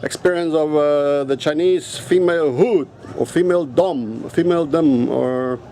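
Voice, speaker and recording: A man's voice: fairly high pitch, a little slow, mellow, slight background noise. A native Afrikaans-speaking man vlogging outdoors in a casual, rambling and blunt way, swearing now and then. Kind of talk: casual rambling vlog talk.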